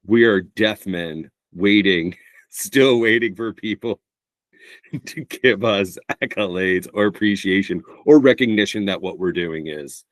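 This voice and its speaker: Sarcastic low-voiced man, casual podcast. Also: unhurried, dry recording. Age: middle-aged. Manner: animated, sarcastic, swears. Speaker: podcast co-host, comedian. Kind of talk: casual chat.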